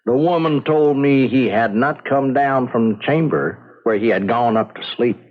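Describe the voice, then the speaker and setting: An actor playing a fervent, righteous frontier preacher who declaims in archaic biblical phrases, in an old-time radio drama style. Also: male voice, mid-range voice, normal speed, phone-quality sound, close to an everyday voice. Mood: solemn, zealous. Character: fervent male frontier preacher quoting scripture, righteous and unrepentant.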